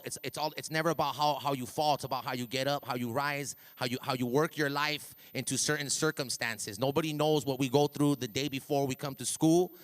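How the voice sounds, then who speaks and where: A middle-aged man from East Los Angeles, a ring announcer with a loud hype-man voice, giving a motivational talk to students over a handheld mic, repeating and restarting words as he goes. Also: medium pitch. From East Los Angeles, USA. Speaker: ring announcer speaking at a school assembly. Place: school auditorium. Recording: reverberant hall.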